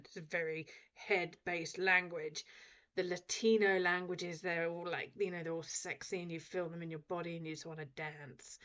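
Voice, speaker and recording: A woman radio host in her forties, chatting casually and ranting with animated, laughing energy. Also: lowish voice, muffled recording. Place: radio studio.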